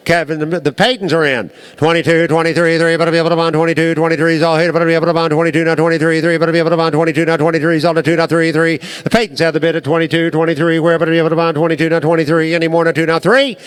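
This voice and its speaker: A male livestock auctioneer rattles off bids in a rolling, rhythmic chant, repeating numbers over and over. His voice sits a little high and comes through crisp and clean. He talks at a breakneck clip.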